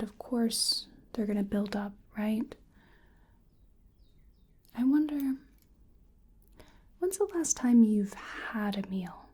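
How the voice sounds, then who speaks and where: A woman playing a hesitant, curious creature researcher in a soft-spoken, whispered ASMR exam roleplay, musing gently and apologetically. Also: fairly high pitch, slightly rough.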